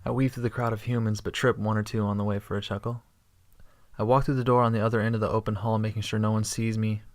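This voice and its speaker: Flat-toned male sci-fi story narrator. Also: fairly low pitch, fast. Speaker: narrator and protagonist. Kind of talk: first-person scripted sci-fi narration.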